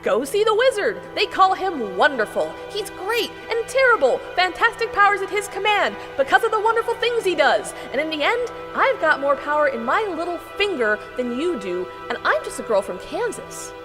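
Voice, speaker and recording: An outspoken young girl from Kansas, earnest and challenging. Her voice is high and very expressive, in a fantasy audio drama with long reverb and music underneath. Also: moderate pace, hardly any pauses. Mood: indignant. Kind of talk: full-cast fantasy audio drama acting.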